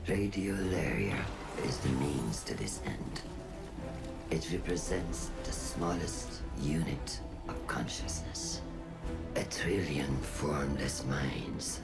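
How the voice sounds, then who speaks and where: A cold, messianic game villainess who commands machines. Her voice is extremely low and slow, breathy and grandly menacing. Loud music plays under her. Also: full character voice, poor audio. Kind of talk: video game villain monologue.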